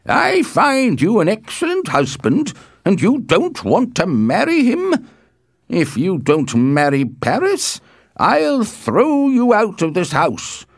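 A performer voicing an angry, tyrannical father in a storybook reading, shouting in a forceful, drawn-out way. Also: medium pitch, wildly swooping pitch, no echo, clean studio sound, voice pushed up, full character voice. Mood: furious. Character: angry, tyrannical father threatening his daughter.

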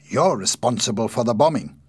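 A man reads as a police chief inspector in an audiobook. He is formal, firm and probing, with a husky edge and lively, emphatic pitch movement. His questioning is measured and insistent. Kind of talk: audiobook character dialogue.

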